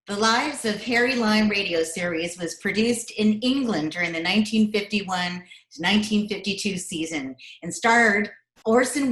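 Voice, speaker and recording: A woman's voice: medium pitch, fairly bright tone. A woman announcer reading a scripted introduction in the manner of an old-time radio presentation. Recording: roomy video-call sound.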